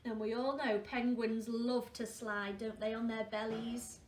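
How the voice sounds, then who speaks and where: A woman's voice: fairly high pitch, flat delivery, a little slow. A woman reading a story aloud to young children as a school storyteller, adding questioning asides to her listeners. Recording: roomy, distant mic, faint noise.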